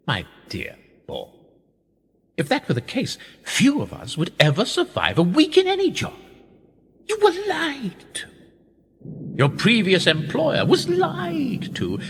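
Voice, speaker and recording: An actor playing an urbane, condescending older aristocratic power broker in a radio play. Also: male voice, medium pitch, fairly bright tone, husky, full character voice. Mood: persuasive. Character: smooth, patronising older British aristocratic power broker, knighted. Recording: long reverberant hall echo.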